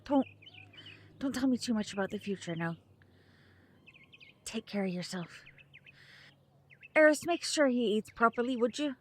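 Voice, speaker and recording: A woman playing a brave, loving magician mother, gentle but firm, in an audio drama. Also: on the high side, animated, moderate pace, pauses often. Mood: tender.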